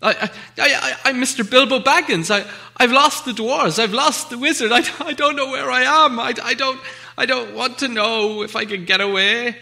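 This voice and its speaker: A man's voice: very high-pitched, moderate pace, creaky, bright, forceful tone, voice pushed up, exaggerated voice. A man voicing a timid, flustered little hobbit who stammers politely and anxiously in a storybook reading. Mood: frightened stammering. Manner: stammering, hesitant.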